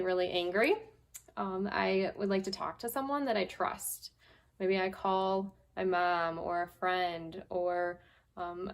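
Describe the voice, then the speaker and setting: A woman's voice: mid-range voice, vocal fry, darkish tone. Woman storyteller speaking simply to children. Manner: simple, deliberate phrasing for young children. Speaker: guest storyteller, school staff member. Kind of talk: children's story read-aloud and advice.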